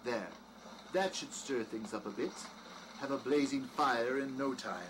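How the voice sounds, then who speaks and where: A man's voice: medium pitch, measured pace, natural voice. A man playing a courteous, formal, faintly sinister doctor welcoming guests to his mansion in an audio drama. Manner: formal, courteous, old-fashioned phrasing. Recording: faint background noise.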